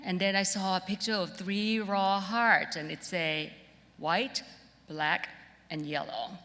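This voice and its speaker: A woman's voice: mid-range voice, slow, sharp tone. A middle-aged woman from Thailand with a Thai accent, giving an informal, conversational keynote built on personal stories. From Bangkok, Thailand. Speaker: keynote speaker, creative director. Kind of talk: conference keynote, personal storytelling. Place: large auditorium stage. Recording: reverberant auditorium with long echo.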